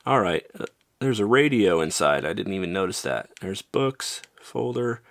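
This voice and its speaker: A man with a North American accent talks through a gadget review in a fairly low voice. His delivery is casual and offhand, with a wry, informal touch. The sound is dry, with very little room in it. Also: expressive, normal speed, gravelly, dry recording. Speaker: product reviewer.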